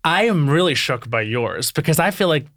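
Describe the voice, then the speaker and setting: Man in his thirties, fairly high voice, dry close sound, excitable podcast co-host. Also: normal speed, no echo. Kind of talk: casual chat.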